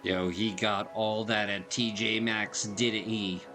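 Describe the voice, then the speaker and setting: A man's voice: lowish voice, little pitch movement, slow, bright, forceful tone. A man giving casual, slangy, joking commentary as he plays through a video game. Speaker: gaming video creator. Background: game music.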